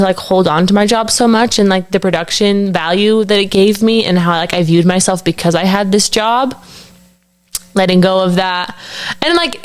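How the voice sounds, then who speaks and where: A woman in her thirties co-hosting a podcast, talking in a reflective, earnest way with many fillers. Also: mid-range voice. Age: 30s. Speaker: podcast co-host. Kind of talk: casual chat.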